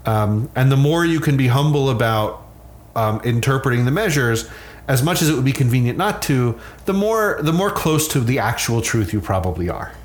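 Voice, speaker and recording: A man speaking conversationally as an expert guest, explaining science in a measured, qualifying way. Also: medium pitch, animated. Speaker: sleep scientist, interview guest.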